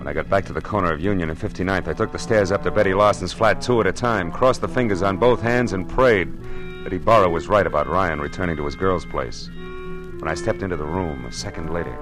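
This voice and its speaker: Guy doing a hard-boiled detective, low voice, dry wisecracks, old radio show feel. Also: a little fast, over music, natural voice. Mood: narrating. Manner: hard-boiled, wry first-person narration. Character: tough wisecracking male private eye. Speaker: lead actor and narrator. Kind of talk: 1940s radio drama acting.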